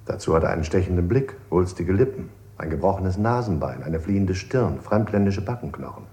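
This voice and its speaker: A man's voice: low-pitched, animated, a little fast, dark tone. An actor playing a coolly accusing interrogator in a radio play, formal and clinical as he lists his charges. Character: cold interrogator, male, coolly accusing, pseudo-logical. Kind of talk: avant-garde radio play acting. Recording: roomy, echoing studio sound.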